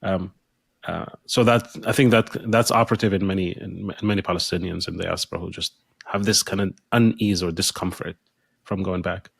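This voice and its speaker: Guy hosting a podcast chat, lowish voice, stops and restarts a lot, dry mic. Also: steady tone, creaky, breathy edge, no echo. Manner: halting, restarts often, many fillers. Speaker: podcast host. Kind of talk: conversational interview.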